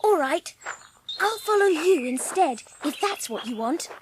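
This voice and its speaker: A woman's voice: very high-pitched, lively intonation, measured pace. A woman playing a lonely, curious young orphan girl in a dramatised audiobook, speaking with wonder. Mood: delighted. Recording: clearly audible background noise. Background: birdsong.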